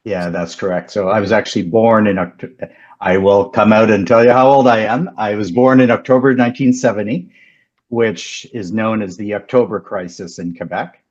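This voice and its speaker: A man in his fifties whose first language is French, speaking as a guest in a casual interview in a measured way, with frequent ums and restarts. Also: on the low side, steady tone, darkish tone, highs cut off. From Canada. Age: 50s. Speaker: guest, company founder.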